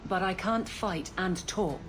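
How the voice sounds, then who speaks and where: An actress playing an awkward, lovestruck guard captain in a video game, earnest and self-mocking. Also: female voice, on the low side, unhurried, background noise, natural voice. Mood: flustered, defensive. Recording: game audio over clear background ambience.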